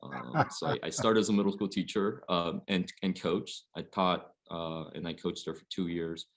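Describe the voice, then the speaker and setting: A man in his forties from Oregon, a high school basketball coach, telling his story at length on a webinar panel, with many fillers. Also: steady tone, normal speed. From Oregon, USA. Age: 40s.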